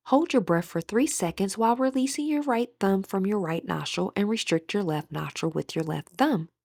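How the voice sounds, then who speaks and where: A woman leading a guided meditation as instructor, speaking in a soft, breathy, soothing way with deliberate pauses. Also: medium pitch, dry recording, studio quality. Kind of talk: guided meditation, scripted narration.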